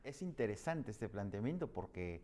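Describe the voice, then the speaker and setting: A man's voice: lowish voice, very animated, normal speed, a little mellow. A man hosting an interview in a restaurant, asking questions expressively with lots of repeated words. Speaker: talk show host. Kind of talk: hosted interview.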